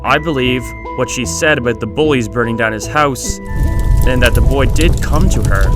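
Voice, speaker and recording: A man's voice: mid-range voice, moderate pace. A man hosting a video essay, reading scripted narration. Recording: music behind the voice.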